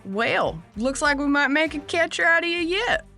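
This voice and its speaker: An actress playing a gruff, sardonic working woman, blunt and bossy, in an audio drama. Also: sits high, animated, normal speed, bright, forceful tone, faint music behind, exaggerated voice. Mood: brisk approval. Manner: blunt, dry, bossy, drawling slang. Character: gruff, sardonic Southern woman pest catcher, seasoned boss. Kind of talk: audio drama character acting.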